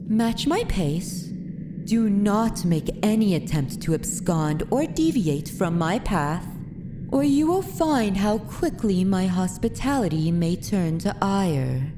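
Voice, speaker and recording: A woman's voice: mid-range voice, noisy background. A woman playing a haughty, dominant vampire noblewoman in an ASMR fantasy roleplay, with commanding, archaic formal speech. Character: haughty, dominant aristocratic vampire mistress of a manor.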